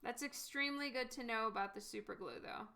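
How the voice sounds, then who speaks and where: A woman's voice: fairly high pitch, a little fast, creaky, sharp tone. A woman artist casually chatting with stream viewers while she paints, giggling often and answering their questions.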